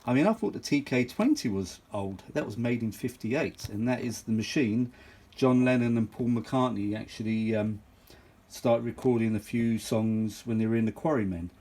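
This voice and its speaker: Husky Englishman in rambling show-and-tell chat. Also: mid-range voice, moderate pace, darkish tone. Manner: rambling, exclamatory, repeats himself for emphasis. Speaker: vintage audio enthusiast showing a machine.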